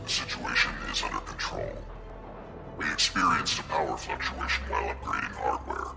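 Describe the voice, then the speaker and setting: An actor playing a huge, menacing alien crime lord in a video game, cold and authoritative, with a growling, processed voice. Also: male voice, a bass voice, normal speed, full character voice. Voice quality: gravelly, growling. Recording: loud music behind the voice.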